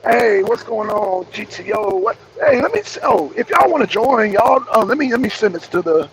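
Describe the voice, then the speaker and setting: Man, raspy, high-pitched, casual live-stream host, rambling and repetitive, faint background noise. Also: big pitch swings, normal speed, poor audio. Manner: rambling, repeats phrases, greets viewers by name. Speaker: streamer hosting a wrestling show.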